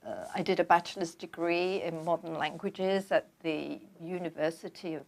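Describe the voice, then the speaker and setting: An older woman from London with a London accent, calmly telling her life story as a guest in an interview. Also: lowish voice, creaky. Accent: London English. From London, England. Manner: measured, reflective storytelling. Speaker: guest author. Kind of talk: conversational interview.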